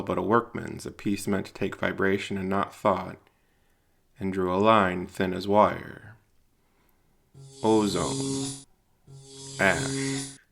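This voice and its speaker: A man narrating scripted dramatic fiction in a solemn, deliberate storytelling manner. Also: fairly low pitch, vocal fry, a bit bright.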